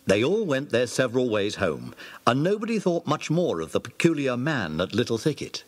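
A male narrator telling a children's story for an audio drama. His voice is husky, and his pitch swings very widely as he narrates with animation. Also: huge pitch swings, normal speed.

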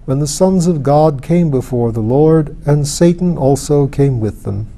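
Drowsy male figure read by a man, murmuring, solemn, mellow, storybook recital. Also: fairly high pitch, unhurried. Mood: dreamy, solemn.

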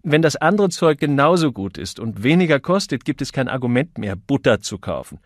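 A man's voice: mid-range voice, very animated, fairly quick, dry recording, studio quality, pitched above the performer's own voice, lightly acted. A man voicing a polite, puzzled junior copywriter in an audiobook, hesitant and full of questions. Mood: questioning.

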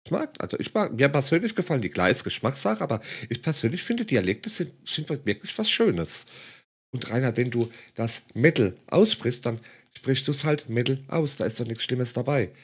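A man's voice: mid-range voice, big pitch swings, moderate pace, husky. A man giving mocking, condescending commentary on a video clip in a sarcastic tone. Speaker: satirical commentator.

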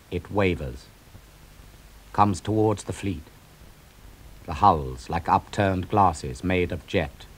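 Older guy reading epic verse real slow, lowish voice, grave and declamatory, soft music under it. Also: rough recording. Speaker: narrator reading poetry. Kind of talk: dramatic poetry reading.

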